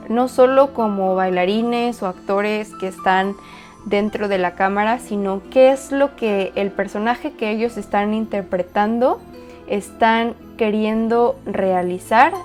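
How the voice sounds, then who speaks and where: A woman dancer and choreographer calmly walking through how she built a dance video, speaking in a measured, reflective way with pauses. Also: medium pitch. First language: Spanish. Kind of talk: explanatory walkthrough.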